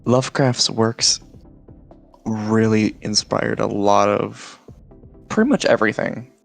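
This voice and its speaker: A man talking as a guest in a casual explanatory chat, halting as he searches for his words. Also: fairly low pitch, fairly even intonation, gravelly, soft background music. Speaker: guest, series creator.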